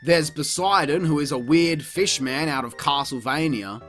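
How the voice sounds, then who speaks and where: A man's voice: fairly high pitch, normal speed, fairly bright tone, soft background music. A man narrating a scripted comedic review in a sarcastic, crude, mocking manner. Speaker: video reviewer.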